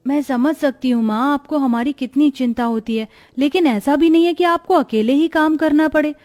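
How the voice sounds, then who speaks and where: A woman's voice: high voice, moderate pace, darkish tone, close to an everyday voice. A woman voicing a caring, dutiful young village daughter in a cartoon story, with an earnest, solicitous manner.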